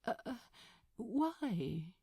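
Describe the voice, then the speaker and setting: A woman voicing a gentle, flustered bookish man in a story, polite, hesitant and breathy. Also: on the high side, big pitch swings, very slow, roomy sound, exaggerated voice. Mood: puzzled, hesitant.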